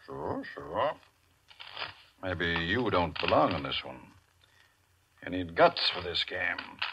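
Deep-voiced, sneering big-shot gambler bully. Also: male voice, big pitch swings, slow pace, exaggerated voice. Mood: needling. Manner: mocking, sneering. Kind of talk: 1940s radio acting. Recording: muffled old radio, faint noise.

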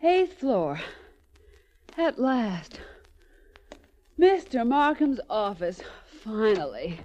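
A woman's voice: on the high side, very animated, drawn-out words, darkish tone, exaggerated voice. An actress playing a wry, lightly complaining female sleuth's companion in 1940s radio style. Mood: wry complaint. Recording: dull old radio recording.

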